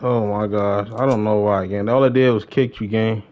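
A young adult man chatting casually as he streams a game, slangy and excitable, often repeating his phrases. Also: on the low side, normal speed, dull audio. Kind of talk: casual livestream gaming chat.

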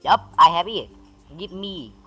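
A man from Vietnam with a Vietnamese accent, talking bluntly in halting, repetitive English as a teammate in a game's voice chat. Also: high-pitched, loud music behind the voice. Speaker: squad teammate in online game. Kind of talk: casual voice chat. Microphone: gaming voice chat.

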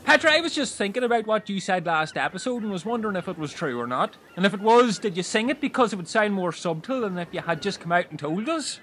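Guy doing a high pitched paranoid castaway detective, bossy, super animated, comedy audio play. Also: quick pace, hardly any pauses, slight background noise. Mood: suspicious. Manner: bossy, insistent, over-dramatic. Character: paranoid, self-appointed amateur detective castaway man, hot-headed and accusing. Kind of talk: comic audio drama acting.

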